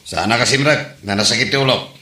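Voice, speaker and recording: A man acting a defiant, belligerent drunkard in a comedy drama, with a slurred drawl that can burst into shouting. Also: mid-range voice, a little fast, close to an everyday voice. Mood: sullen, drunken. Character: belligerent drunkard husband and grown son, defiant. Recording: echoey room.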